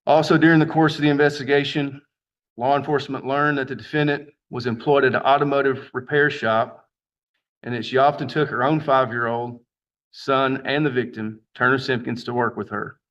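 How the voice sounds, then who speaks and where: A man's voice: mid-range voice, steady tone, fairly quick, fairly bright tone. Dull-sounding recording of a formal male prosecutor. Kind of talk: formal courtroom statement of facts. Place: courtroom. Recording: dull lo-fi courtroom audio.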